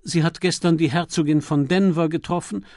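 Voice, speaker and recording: A male narrator plays a stubborn, respectful valet in an audiobook. The delivery is formal and firm, pitched above a plain reading voice. The sound is dry and close. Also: fairly even intonation, a little fast, darkish tone, no room sound, lightly acted. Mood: deferential, formal. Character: dignified, stubborn, deferential manservant of a lord. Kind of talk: audiobook character voice.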